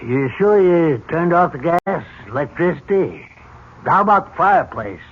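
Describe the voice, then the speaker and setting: An actor in an old-time radio drama playing a hesitant, apologetic hired driver with dark intent, speaking in a halting, deferential way. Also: male voice, a little high, expressive, breathy edge. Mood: deferential, stalling. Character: hesitant, apologetic taxi driver turned reluctant killer. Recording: dull, noisy old radio recording.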